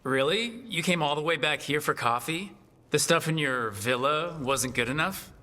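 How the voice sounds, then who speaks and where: A man acting a steady, wry, supportive adventurer hero in a video game, talking conversationally. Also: a little high, normal speed. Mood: wry, teasing.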